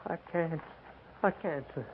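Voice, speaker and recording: A tinny old radio recording with clear hiss carries an actor playing a nervous frontier private. He is an American man with a highish voice, halting and pleading. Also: close to an everyday voice. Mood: anguished, pleading. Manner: halting, timid, deferential. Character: anxious, guilt-ridden, pleading young cavalry private. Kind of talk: 1950s radio drama acting. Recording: tinny, band-limited, noisy 1950s radio recording.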